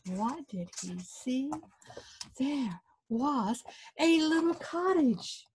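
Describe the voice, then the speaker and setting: Older lady reading a folktale to kids, highish voice, animated, gets you to join in. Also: extremely slow, a little hiss or hum. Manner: animated, inviting listeners to join in. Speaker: author and storyteller. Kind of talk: interactive read-aloud of a folktale for children. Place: small book-lined room indoors.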